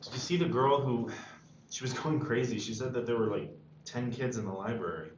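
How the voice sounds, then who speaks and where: A man playing an earnest, shaken high school student in a drama table read. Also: a little fast, lightly acted. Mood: shaken, serious. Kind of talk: screenplay table read, film drama dialogue. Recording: echoey room, dull sound, faint noise.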